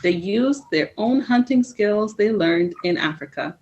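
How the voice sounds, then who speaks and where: Storybook teacher explaining to kids, as a woman reads him, slow and lively. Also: mid-range voice, muffled recording, a little hiss or hum, lightly acted. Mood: patient.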